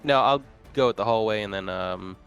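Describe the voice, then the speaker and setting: A man with a fairly low, smooth and clear voice plays a tabletop roleplaying game. He chats in a laid-back, slangy, jokey way over faint music. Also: a little fast, a bit bright, highs cut off. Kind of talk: casual game chat.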